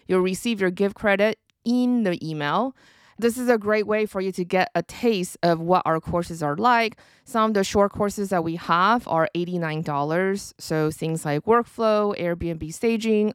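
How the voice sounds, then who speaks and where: A woman in her forties, originally from Taiwan, hosting a solo podcast with an upbeat, chatty delivery. Her voice is smooth and a little low, recorded close and dry in a small quiet room. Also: no echo. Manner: upbeat, conversational, promotional. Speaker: podcast host.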